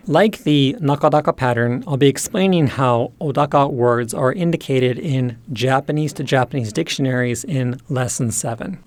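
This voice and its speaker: A man narrates an instructional lesson in a measured, explanatory manner. His voice sits at a middle pitch and is recorded very dry, with no room sound. Key terms are set out with careful enunciation. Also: darkish tone, no room sound. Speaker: teacher presenting a language lesson.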